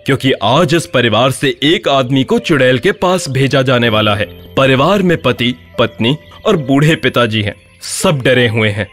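A man narrating a scripted animated horror tale as a storyteller. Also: mid-range voice.

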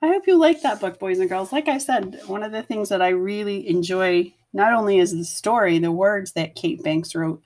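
Female primary teacher, mid-pitched, reading a storybook to young pupils with simple, instructive asides. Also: normal speed, few pauses, darkish tone. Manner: instructive, asks the listeners questions, addresses children simply. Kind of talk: picture-book read-aloud with commentary to children.

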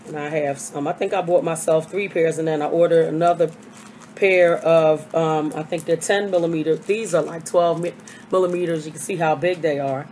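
Older woman, hoarse, low voice, chatty vlogger, bedroom webcam, faint background noise. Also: steady tone, normal speed, darkish tone. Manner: chatty, rambling with many fillers. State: hoarse from a cold. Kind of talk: casual haul video. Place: small bedroom. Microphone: webcam at desk.

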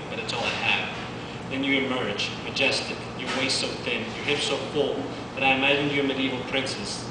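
A young man from Colombia reading his own fiction aloud in a steady, measured way at a bookstore event, heard from a distant camera. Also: medium pitch. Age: 20s. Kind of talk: literary reading. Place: small bookstore indoors. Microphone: far camera. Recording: distant, echoey, noisy room.

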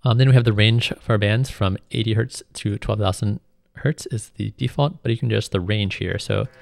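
Man, instructor, lowish gravelly voice, informal tutorial narration, step-by-step. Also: normal speed. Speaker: music production instructor. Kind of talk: screen tutorial narration, explanatory.

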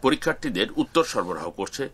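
A man reads a news report at a rapid clip. His voice is bright and his pitch moves a lot. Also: big pitch swings, slightly rough. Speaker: news reader.

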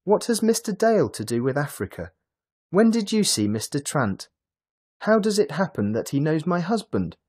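A man's voice: lowish voice, very animated, slow pace, few pauses, slightly rough, no echo, studio quality, full character voice. A man reading a storybook, voicing a flustered middle-aged wife who frets and asks anxious questions. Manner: startled, fretful questioning.

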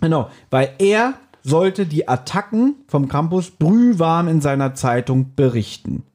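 This is a man with a soft-toned, relaxed voice that sits a little high. He co-hosts a podcast, recounting a story in everyday conversational style. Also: unhurried. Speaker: podcast co-host. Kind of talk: casual podcast chat retelling an audio drama.

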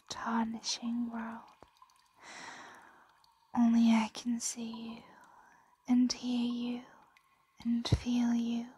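Woman voicing a slow, whispering, possessive dragon. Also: fairly high pitch, dark tone, no room sound, full character voice. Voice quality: breathy whisper. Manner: slow, soothing, possessive murmuring. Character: possessive, doting ancient dragon hoarding a captive treasure. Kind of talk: ASMR roleplay. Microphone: close microphone.

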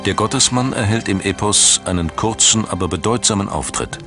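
Very deep male documentary narrator. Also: a little fast, music in the background.

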